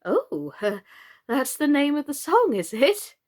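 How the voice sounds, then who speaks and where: A curious young storybook girl, played by a woman, asks things politely and with some puzzlement. The pitch moves in a lively way, and the words come without any rush. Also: slow, exaggerated voice. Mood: puzzled, polite. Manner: polite, questioning. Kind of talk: children's storybook character voice.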